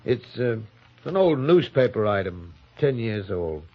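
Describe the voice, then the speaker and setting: Husky, folksy police sergeant. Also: male voice, mid-range voice, big pitch swings. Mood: folksy, inquisitive. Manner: halting, informal, folksy questioning. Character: plain-spoken, folksy male police sergeant, inquisitive and hesitant. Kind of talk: 1950s radio drama acting. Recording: muffled old radio recording.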